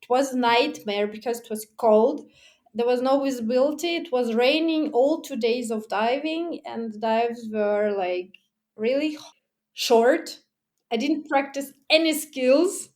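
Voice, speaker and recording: A woman's voice: a little high, a little slow. A woman being interviewed about her diving, talking casually with frequent laughter and acting out her own remarks. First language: not German. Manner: lively, laughs often, acts out her own past remarks; restarts and fillers like "like". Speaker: guest, technical diver and underwater photographer.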